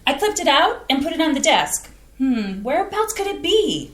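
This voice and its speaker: A woman's voice: high voice, moderate pace. A woman playing a bubbly Wisconsin homemaker. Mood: folksy. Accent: Upper Midwest (Wisconsin). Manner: bubbly, folksy, excitable exclamations. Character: chatty Midwestern homemaker wife, excitable and folksy. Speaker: actor in a community staged reading. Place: community theater stage. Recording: echoey stage room.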